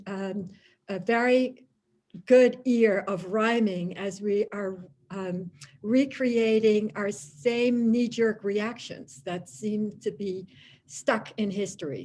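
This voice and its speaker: A woman's voice: medium pitch, a little mellow. A woman with a Dutch accent, Dutch her first language, giving a thoughtful lecture-style talk with many fillers and restarts. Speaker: guest lecturer.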